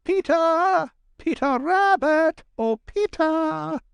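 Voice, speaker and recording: A man voicing an excitable, round male woodchuck in a storybook, eagerly calling out in a squealing voice. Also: slow pace, gravelly, dry recording, heavily acted. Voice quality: squealing, high-pitched.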